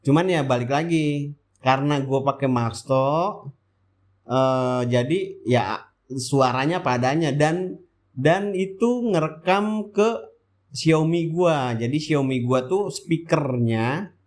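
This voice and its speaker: A man's voice: fairly high pitch, slow, a little mellow. Chatty man with a Jakarta-area Indonesian accent. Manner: colloquial, rambling, asks and answers own questions. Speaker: motovlog host. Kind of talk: casual vlog voice-over, chatty and informal.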